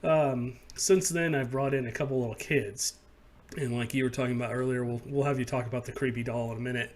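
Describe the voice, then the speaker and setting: A man's voice: medium pitch, steady tone, brisk. A man hosting a paranormal podcast, telling stories and asking questions in a loose, filler-heavy way. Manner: loose storytelling, many fillers. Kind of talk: casual interview chat.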